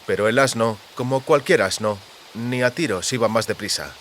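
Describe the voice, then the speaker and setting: Male audiobook narrator, medium pitch. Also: normal speed, fairly bright tone, a little hiss or hum. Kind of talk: scripted literary narration.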